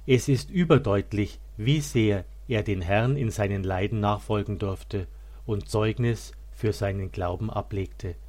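Male narrator for a religious biography, lower-pitched voice, solemn and measured scripted read. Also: a little mellow.

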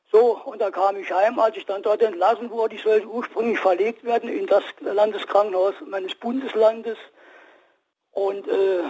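A man in his sixties calling in to a radio show, telling his story haltingly with many restarts. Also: high voice, darkish tone, husky, rough recording. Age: 60s. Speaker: caller. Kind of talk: call-in radio testimony.

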